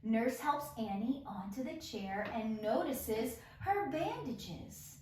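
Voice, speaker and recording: A woman's voice: on the high side, animated, slow, darkish tone, a little hiss or hum. A woman reading stage directions aloud at an amateur table read. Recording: very echoey room, slightly distant mic.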